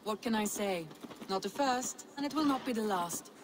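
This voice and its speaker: A woman's voice: medium pitch, expressive, moderate pace, noisy background, lightly acted. An actress playing a bold, playful Viking woman, confident and hearty, in a video game drama. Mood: eager, teasing. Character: Randvi, spirited bold Viking woman, teasing and adventurous.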